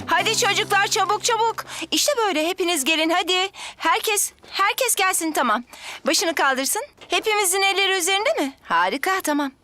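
A voice actress playing a cheerful, encouraging nursery teacher in a dubbed children's show. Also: female voice, extremely high pitch, expressive, normal speed, full character voice. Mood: cheerful, organizing. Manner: encouraging, praising, gently directing. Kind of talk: children's television drama dubbing.

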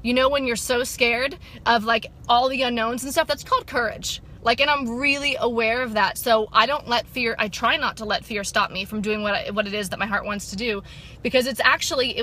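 A woman in her thirties talks to her viewers from a parked car, her voice bright and a touch high. She is chatty and encouraging, full of ums and restarts, speaking close to a phone held at arm's length. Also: slight background noise. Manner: upbeat, encouraging, chatty with many fillers. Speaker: sobriety coach and vlogger. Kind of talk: casual motivational vlog. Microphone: phone camera at arm's length.

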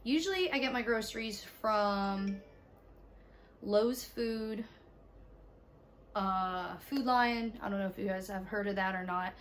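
A young mom talks loosely to her camera from her bed, with easy fillers and trailing phrases. Her voice sits at a middle pitch. A faint hiss of room ambience hangs under it. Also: unhurried, long pauses. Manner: relaxed, chatty, trailing off with fillers. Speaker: vlogger and mom. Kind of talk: casual vlog chat to camera. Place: bedroom, indoors, sitting on bed.

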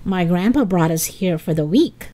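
A woman's voice: on the low side, lively intonation, moderate pace, mellow, close to an everyday voice. A woman voicing a mild, easygoing young boy in a storybook reading. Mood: surprised.

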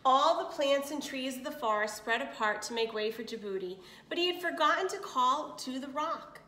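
A woman's voice: sits high, expressive, moderate pace, bright, forceful tone. A woman reading a picture book aloud to children as a storyteller, expressively, with little asides and questions. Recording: echoey room.

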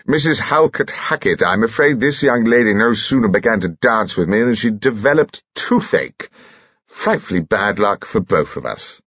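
A man voicing an affected upper-class gentleman with an arch, polite manner in a novel reading. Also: lowish voice, expressive, moderate pace, slightly rough, dry recording, lo-fi sound, voice pushed up, heavily acted. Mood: arch, apologetic.

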